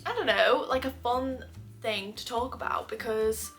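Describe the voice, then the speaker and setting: Chatty woman host, nasal with a cold, fairly high, very bright tone, laughs often. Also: a little slow, soft background music. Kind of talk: casual chat reading posts aloud.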